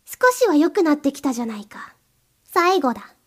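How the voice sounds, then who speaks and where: A woman's voice: high-pitched, very animated, moderate pace. A woman playing a cold, domineering mistress in an erotic audio drama, giving curt, contemptuous orders to the listener. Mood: commanding.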